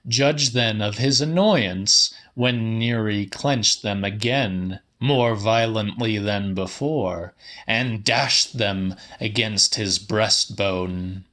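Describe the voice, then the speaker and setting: A man narrating a literary audiobook in a measured, deliberate and slightly dramatic style. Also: on the low side, fairly bright tone.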